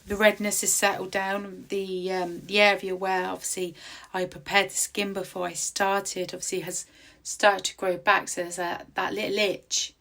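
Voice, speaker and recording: Chatty woman with a croaky voice. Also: mid-range voice, a bit bright. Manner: chatty and rambling, with many ums. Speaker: tattoo artist. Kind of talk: casual vlog voice-over. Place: outdoors in quiet countryside.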